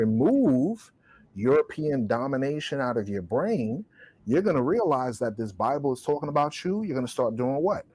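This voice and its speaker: A man argues with fervent conviction, like a preacher making a point. His voice is mid-pitched and the recording is dry and close. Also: a little mellow, no echo. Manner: emphatic, rhetorical, quotes scripture. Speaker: podcast co-host. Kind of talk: impassioned discussion, preacher-like.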